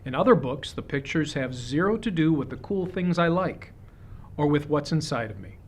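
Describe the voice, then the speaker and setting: A man reading a children's picture book aloud, with expressive, deliberate phrasing suited to young listeners. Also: a little high. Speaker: storybook reader.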